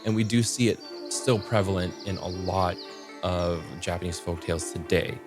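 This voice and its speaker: Low-voiced man explaining, music behind him. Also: moderate pace. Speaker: podcast co-host discussing folklore. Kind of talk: explanatory casual discussion.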